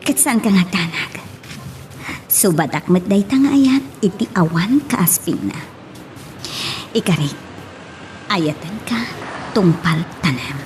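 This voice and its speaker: Doting, coquettish older sweetheart, lively intonation. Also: female voice, fairly low pitch, slow pace, pauses often, a little hiss or hum. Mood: tender, loving. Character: doting older woman in love with younger man. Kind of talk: radio romance drama acting. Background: music.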